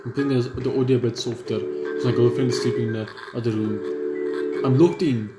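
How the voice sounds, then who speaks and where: A young man hosting a gaming video, reading story text aloud haltingly and stumbling over words. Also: medium pitch, fairly even intonation. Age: young adult. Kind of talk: let's play, reading visual novel text aloud. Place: indoor room at home. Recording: roomy echo under game music.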